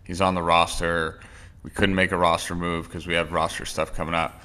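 A man's voice: low-pitched, flat delivery, a little fast, pushed and bright. A man co-hosting a casual sports podcast, opinionated and rambling with restarts. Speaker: podcast co-host.

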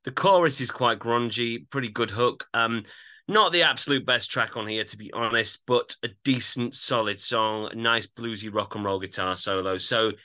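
A man co-hosting a podcast, giving casual track-by-track album commentary with measured critical judgments. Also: mid-range voice, sharp tone. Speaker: podcast co-host.

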